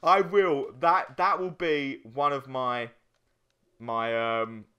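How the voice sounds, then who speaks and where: A man's voice: medium pitch, steady tone, slow pace, bright, forceful tone, smooth, lo-fi sound. A young man in casual gaming banter as a co-host, given to playful, mock-boastful joking. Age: young adult. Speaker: let's play co-host.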